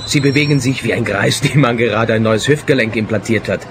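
An actor playing an earnest, easily exasperated, uneasy client of a detective in a radio play. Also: male voice, mid-range voice, brisk, background noise, natural voice. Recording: clear background ambience.

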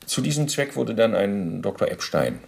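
An actor playing a former SS officer under interrogation in a radio drama, recounting in a precise, bureaucratic, self-justifying way. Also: male voice, fairly low pitch, sing-song, moderate pace, creaky, exaggerated voice. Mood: matter-of-fact, self-justifying.

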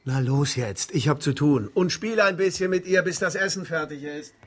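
An actress playing a brisk, firm grown-up minding squabbling children in a children's radio play. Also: female voice, low-pitched, expressive, slightly rough.

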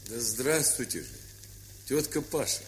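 A man's voice: very animated, measured pace. An actor playing a reserved, slightly awkward nephew in his late forties in a dramatised story, answering tersely and hesitantly. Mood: reserved, fond. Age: 40s. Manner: terse, hesitant, low-key replies. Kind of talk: dramatised short story, audio acting. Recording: hissy, crackly vintage 1970s record.

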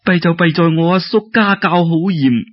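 A man voicing a timid, gentle young maiden with a Cantonese accent, hesitant and polite, in a told folk tale. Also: a little high, normal speed, no echo, voice pushed up, natural voice. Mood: shy, hesitant. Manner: hesitant, polite, soft-hearted. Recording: dull lo-fi radio-like recording.

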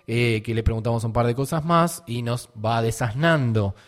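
A man's voice: mid-range voice, moderate pace. A man with an Argentine accent, hosting a radio show and commenting on music in a conversational way. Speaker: radio host.

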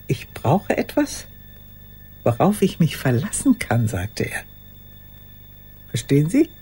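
An actress playing an old woman in a radio play monologue, courteous and haunted, recalling the past in a halting, breathy, raspy voice. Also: low voice, wildly swooping pitch, mellow, exaggerated voice. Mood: reflective, measured. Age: older. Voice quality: husky, raspy, breathy, often dropping to a whisper. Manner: deliberate, reflective, halting.

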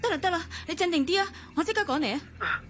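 A woman's voice: very high-pitched, expressive, fast talker, background noise. An actress in a Cantonese radio drama playing a composed, considerate married mother. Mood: urgent, controlled. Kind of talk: Cantonese radio drama acting and first-person narration. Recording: music behind the voice.

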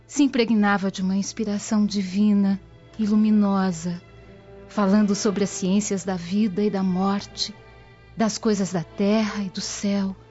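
A woman's voice: mid-range voice, mellow, natural voice. An actress playing a devout, lovestruck young Roman noblewoman, reminiscing tenderly and solemnly in a radio drama. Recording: dull lo-fi radio drama audio. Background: music.

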